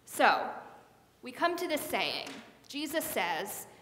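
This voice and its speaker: A woman's voice: high voice, very animated, slow pace, fairly bright tone. A middle-aged woman pastor giving a conversational sermon, gesturing as she speaks, on a headset mic in a large church sanctuary. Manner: conversational preaching with hand gestures. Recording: echoey hall with long reverb.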